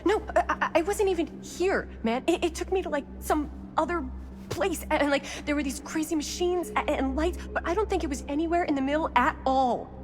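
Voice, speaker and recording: An actress playing a frightened, excitable young woman in a horror game, with a stammering, slangy way of talking. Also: sits high, a little fast, soft background music, lightly acted. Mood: agitated, urgent. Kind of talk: cinematic horror game acting.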